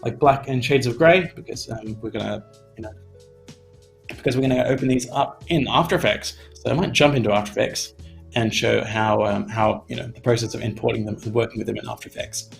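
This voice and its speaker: A man's voice: mid-range voice, fairly quick, gravelly, faint music behind. A young man from Australia giving a casual, chatty tutorial from a home studio on a desk mic, his voice tiring, with lots of "you know" fillers. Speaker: animation instructor. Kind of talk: casual live-stream tutorial.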